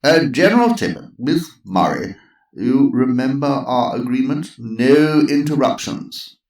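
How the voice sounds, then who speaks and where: A man voicing a fussy, flustered older gentleman who draws out his words. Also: medium pitch, sing-song, a little slow, pitched above the performer's own voice. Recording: roomy echo.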